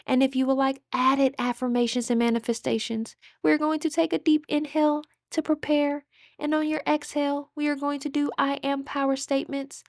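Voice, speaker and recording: High-pitched woman guiding a meditation. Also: completely flat delivery, normal speed, no room sound, studio quality. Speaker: meditation guide. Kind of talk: guided meditation.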